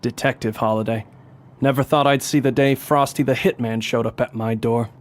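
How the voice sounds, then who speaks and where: A man's voice: medium pitch, steady tone, normal speed, natural voice. A man playing a tough, sardonic police detective in a noir comedy audio drama, with a threatening edge. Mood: cool menace. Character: tough, wry hard-boiled male police detective. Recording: music behind the voice.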